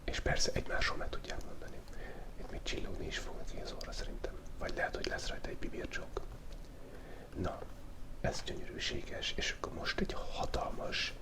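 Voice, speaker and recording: A man speaks in a steady whisper, hosting casual ASMR. He has a cold, and he rambles playfully, pausing and hesitating between asides. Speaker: host of a drawing ASMR channel.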